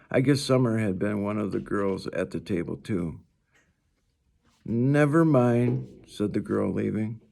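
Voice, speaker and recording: A man with a creaky, lowish voice reads a story aloud at an unhurried pace. He narrates like a teacher reading to a class, now and then stumbling and going back over a phrase. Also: a little mellow. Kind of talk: read-aloud storytelling in a boy's first-person narration.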